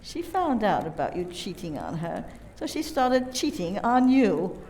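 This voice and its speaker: A woman's voice: medium pitch, big pitch swings, unhurried, a little mellow, slight background noise. A woman acting in a radio play as a cool, proud businesswoman who is pointed and gossipy. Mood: proud, accusing.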